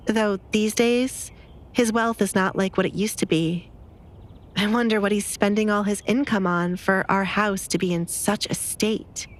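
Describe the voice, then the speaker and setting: A woman's voice: medium pitch, lightly acted. A woman voicing a bitter, defiant young noblewoman in an audio drama, blunt and sarcastic, with light background noise.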